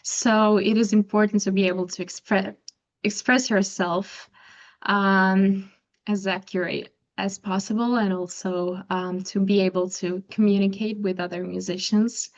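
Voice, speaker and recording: A young woman from Croatia, a native Croatian speaker, answering interview questions softly and carefully, with frequent ums and restarts. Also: mid-range voice, little pitch movement, a little slow, lo-fi sound. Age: young adult. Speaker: interview guest, pianist.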